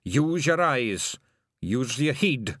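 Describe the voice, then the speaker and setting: A man's voice: mid-range voice, very animated, slow pace, a bit bright, no room sound, studio quality, pitched above the performer's own voice, full character voice. A man voicing a tiny, proud fairy clansman who talks bluntly and emphatically with a Scottish accent in an audiobook. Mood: gruffly encouraging.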